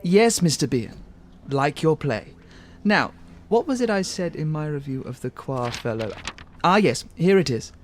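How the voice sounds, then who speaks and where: Pompous theatre critic, highish voice. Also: male voice, very animated, moderate pace, a little hiss or hum. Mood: pompous, arch. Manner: pompous, arch, courteous. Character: pompous, theatrical male critic narrating the play. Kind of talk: radio play acting with narration to the listener.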